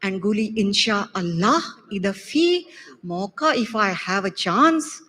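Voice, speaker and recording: A woman's voice: medium pitch, animated, a little slow, fairly bright tone. A woman doctor from the audience, not a native Arabic speaker, giving earnest thanks and listing things one by one. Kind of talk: spontaneous public remarks. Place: large conference hall. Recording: reverberant conference hall.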